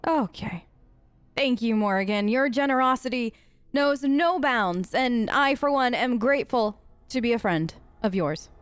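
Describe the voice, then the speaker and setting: A woman's voice: fairly high pitch, lively intonation, a little slow, clean tone, no room sound. A woman hosting a role-play let's play, improvising her character's lines in casual, earnest, informal talk.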